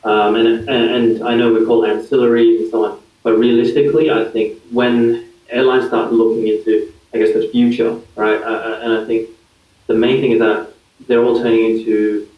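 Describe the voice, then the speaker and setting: A man's voice: medium pitch, moderate pace. Australian man, distant echoey lo-fi sound. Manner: relaxed, explanatory, many fillers and restarts. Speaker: guest, digital consultant. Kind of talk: casual interview answers. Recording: far from mic, echoey, dull lo-fi.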